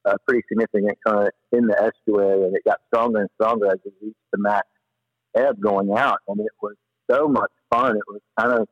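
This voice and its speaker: A man telling stories casually as a podcast guest, in an animated, slangy, rambling way. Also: on the low side, fairly quick, dry recording, lo-fi sound. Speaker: podcast guest, paddler and former river guide. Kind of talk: casual storytelling in an interview.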